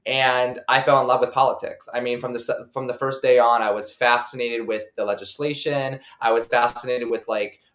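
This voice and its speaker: A man's voice: mid-range voice, steady tone, moderate pace, hardly any pauses, a bit bright, echoey room. A chatty American man in his twenties from Ohio, casually telling personal stories as a podcast guest, with "like" sprinkled throughout. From Ohio, United States. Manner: chatty, peppered with "like". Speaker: podcast guest, content creator.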